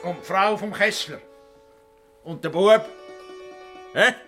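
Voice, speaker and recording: A man's voice: high voice, slow pace, pushed and bright, faint music behind, heavily acted. A man acting in a radio drama as a blunt, stern questioner who speaks in curt, pointed, insistent phrases.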